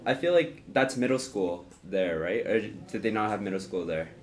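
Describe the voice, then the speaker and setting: Animated man in casual podcast chat. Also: on the low side, normal speed. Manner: informal, affirming interjections. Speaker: podcast co-host.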